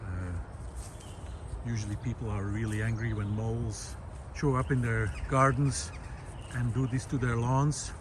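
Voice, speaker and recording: A man speaking in a reflective, unscripted explainer style, hesitant and thoughtful, often pausing mid-sentence as he presents. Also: mid-range voice, fairly even intonation. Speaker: presenter of a nature and philosophy video series. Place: outdoors in a park. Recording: outdoor recording with clear background noise.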